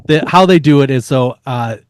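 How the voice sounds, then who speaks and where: A man co-hosting a podcast, telling stories in a casual, halting way and repeating words as he searches for the next. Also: mid-range voice, slight background noise. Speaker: podcast co-host. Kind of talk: casual sports storytelling.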